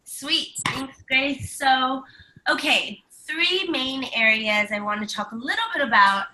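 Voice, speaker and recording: Bright-voiced woman, stuffy from a cold. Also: high-pitched, measured pace, slight background noise, rough recording. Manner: upbeat, lots of ums. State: stuffy from flu. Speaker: co-host. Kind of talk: live-stream chat. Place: home.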